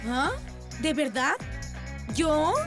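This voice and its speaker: Eager, very high-pitched little boy motorbike. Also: extremely slow, music in the background, full character voice. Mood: amazed, excited. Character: eager young boy rescue motorbike, bold and cheerful. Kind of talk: children's cartoon voice acting.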